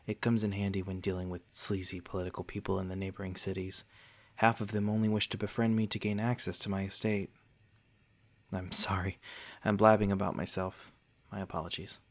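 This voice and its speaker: A man playing a courteous, protective vampire lord in a soft-spoken ASMR roleplay, with formal, genteel wording and a gentle, reassuring manner. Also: lowish voice. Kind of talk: ASMR roleplay, speaking softly to the listener.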